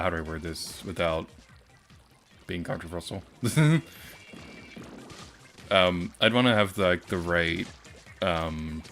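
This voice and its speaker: A soft-spoken young man streaming and chatting casually, hesitant, with many pauses and ums. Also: sits low.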